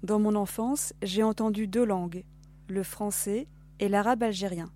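A woman in her thirties narrates a podcast from a script in a soft, even voice. Her tone is warm and mellow. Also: mid-range voice, normal speed, faint music behind.

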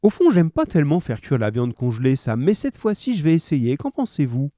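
A man voicing a cheerful, eager housewife in a read-aloud story, in a raised, sweet falsetto. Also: huge pitch swings, fairly quick, dark tone, dry recording, clean studio sound.

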